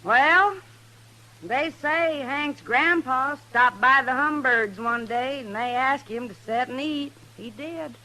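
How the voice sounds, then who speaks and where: An actress playing a weary, plain-spoken mountain wife in a radio Western, with folksy Southern backwoods speech. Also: female voice, high-pitched, slow pace, pushed and bright, full character voice. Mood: plaintive, resigned. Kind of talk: old-time radio Western acting. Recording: noisy old radio recording.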